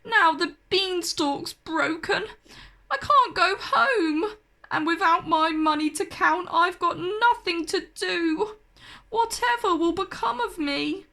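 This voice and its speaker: Woman voicing a high-pitched, sentimental storybook giant. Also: slow pace, gravelly, sharp tone, heavily acted. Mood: tearful despair. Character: lonely, gentle, sentimental male giant. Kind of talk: children's storybook character voice.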